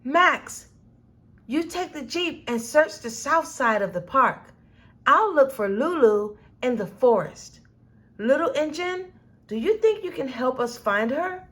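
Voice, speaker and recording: An earnest, caring zookeeper from a children's storybook, voiced by a woman reading aloud. She sounds fairly high and very expressive, like someone kindly taking charge. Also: slow, full character voice. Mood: decisive. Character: friendly, caring female safari park zookeeper.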